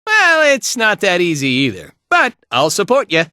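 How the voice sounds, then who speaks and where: A man's voice: high-pitched, huge pitch swings, moderate pace, bright, forceful tone, smooth, dry recording, studio quality, full character voice. A man playing a spirited, laid-back fighter and guide in a video game, with a breezy, colloquial way of talking. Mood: easygoing, instructive. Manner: breezy, colloquial, tagging lines with casual check-ins. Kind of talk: animated video game voice acting.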